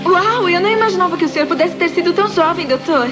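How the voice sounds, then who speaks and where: A woman's voice: very high-pitched, lively intonation, quick pace, over music. A woman playing a perky, spirited robot girl in a Brazilian cartoon dub. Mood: surprised. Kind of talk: dubbed cartoon acting.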